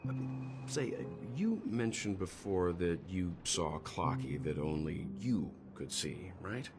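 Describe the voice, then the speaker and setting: A man playing a thoughtful, courteous investigator in a video game, speaking in a measured, formal, reflective way. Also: deep voice, expressive. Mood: pensive. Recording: music behind the voice.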